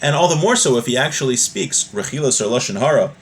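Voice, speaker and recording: A man with an average-pitched voice teaches a text in an informal lesson. He moves between reading and plain explanation, conversational but didactic, often checking in with the listener. Faint background ambience sits behind his voice. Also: expressive, fast talker, a little hiss or hum. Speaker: religious teacher.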